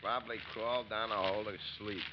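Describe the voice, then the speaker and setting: An actor playing a weary, sarcastic American soldier who grumbles drily, in 1940s radio drama style. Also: male voice, fairly low pitch, fairly even intonation, fast talker, natural voice. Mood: dry impatience. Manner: dry, sarcastic, weary complaining. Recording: muffled vintage radio recording, faint noise.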